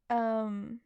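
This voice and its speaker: A woman's voice: on the high side, gravelly, smooth, dry recording, muffled recording. A tired woman chatting casually as she hosts a live stream, using mild, folksy exclamations. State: a little tired. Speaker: live stream host and amateur voice actor.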